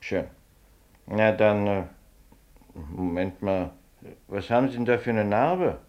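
A man's voice: lowish voice, takes it slowly. A male company doctor in a radio drama. He takes his time, yet his questions are clipped and casually authoritative, broken by little hums. The recording is a little dull. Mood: jovial, probing. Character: brisk, routine-minded male company doctor. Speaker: actor. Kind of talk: German radio play acting.

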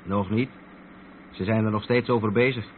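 An actor with a Netherlands Dutch accent playing an experienced long-haul trucker who patiently explains things in a radio play. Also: male voice, fairly low pitch, steady tone, normal speed, lightly acted. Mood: patient, explaining. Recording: muffled old radio play, vehicle noise.

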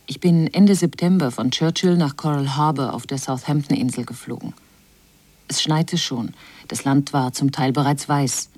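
A low-voiced woman recalling travels, mellow, measured, reflective, radio feature voice-over. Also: no room sound. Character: woman recalling her fascination with the Arctic, German voice-over. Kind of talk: read voice-over of translated testimony.

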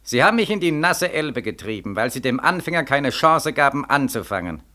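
An actor playing an embittered, desperate young soldier back from war, declaiming with bitter sarcasm in a 1940s radio play. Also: male voice, mid-range voice, sing-song, brisk, sharp tone. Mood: accusing. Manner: impassioned, declamatory, bitterly sarcastic, insistently repetitive. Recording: vintage 1940s radio recording.

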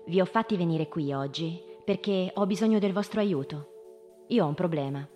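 A woman in a live radio drama playing a gently commanding teacher, measured and questioning, with pauses between her phrases. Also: fairly low pitch, faint music behind, natural voice. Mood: mysterious, confiding. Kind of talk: live radio drama, slow deliberate storytelling.

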